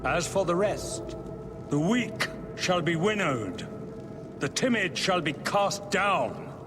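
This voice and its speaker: An actor playing a grandiose cult leader in a video game, proclaiming doom to the faithful like a prophet. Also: male voice, high-pitched, lively intonation, slow, noisy background. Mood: exalted, menacing. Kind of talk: video game villain acting, oratory. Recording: long hall reverb, faint music behind.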